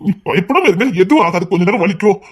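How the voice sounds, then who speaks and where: An actor playing a stubborn, controlling husband and anxious father-to-be in an emotional melodrama, insistent and repetitive, moving between hushed coaxing and agitated scolding. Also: high voice, lively intonation, fast talker, husky, full character voice. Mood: reassuring.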